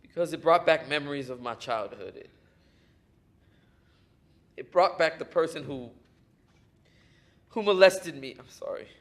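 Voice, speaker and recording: A man playing a wounded, halting young man. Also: on the high side, fairly bright tone. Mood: subdued. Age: young adult. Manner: halting, emotional. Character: troubled young man, wounded and self-loathing. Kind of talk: stage play acting.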